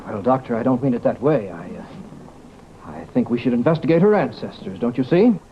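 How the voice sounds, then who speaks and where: An actor playing a self-important, testy scientist in an old radio drama, speaking in a pompous, clipped, theatrical way. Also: male voice, medium pitch, expressive, a little fast, lightly acted. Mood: earnest. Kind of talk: old-time radio comedy-drama acting. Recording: muffled, noisy old radio recording.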